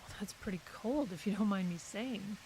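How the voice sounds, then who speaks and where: A woman's voice: medium pitch, moderate pace, warm tone, lightly acted, low-quality recording. A woman playing a guarded, brusque literary agent in an audio drama, with a dry, curt manner. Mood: disapproving. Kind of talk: naturalistic audio drama acting. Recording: clearly hissy recording.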